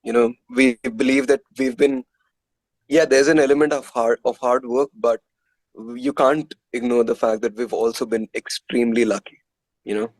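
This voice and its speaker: Casting: male musician guest, Indian accent, mid-pitched voice, dry recording, candid conversational storytelling. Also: fairly even intonation, normal speed, dry recording, rough recording. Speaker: musician being interviewed. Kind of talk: casual interview storytelling.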